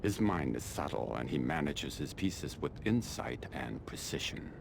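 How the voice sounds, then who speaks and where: A stern, calculating army colonel from China for a video game. His voice is extremely deep with a gravelly creak. He speaks formally and deliberately, with haughty authority, over clear rumbling noise. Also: big pitch swings, heavily acted. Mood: grave, commanding. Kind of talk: scripted video game dialogue.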